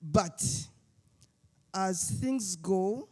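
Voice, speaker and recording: A speaker from Uganda, giving a halting panel talk with many fillers and restarts. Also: medium pitch, animated, slightly rough. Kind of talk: summit panel speech.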